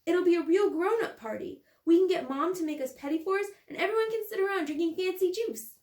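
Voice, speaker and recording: A woman's voice: very high voice, a little fast, darkish tone, echoey room, pitched above the performer's own voice. A woman voicing an earnest, imaginative young girl who bossily plays at being grown-up in a storybook reading. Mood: excited. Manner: earnest, insistent, playing grown-up. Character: young girl Ella, bossy, earnest, imaginative little sister.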